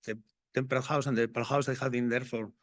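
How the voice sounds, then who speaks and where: A man's voice: medium pitch, level tone, fast talker, a bit bright. Spanish-accented man giving a botany talk. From Spain, Asturias. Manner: enthusiastic, rambling, self-correcting. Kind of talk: conference talk and Q&A.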